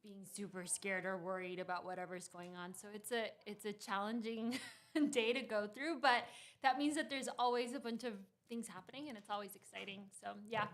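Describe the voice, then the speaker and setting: A woman's voice: medium pitch, steady tone, moderate pace. A woman in her thirties from Costa Rica, a startup founder talking as a guest in a studio interview, laughing often and using many fillers and restarts. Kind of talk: casual interview conversation.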